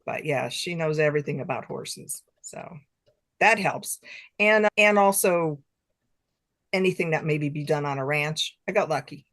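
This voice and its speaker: Female interview guest, middle-aged, slightly low register, very little room sound, informal and self-deprecating. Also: a little slow, a bit bright, no room sound, studio quality. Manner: self-deprecating, chuckles between remarks. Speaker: guest author. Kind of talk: casual interview chat.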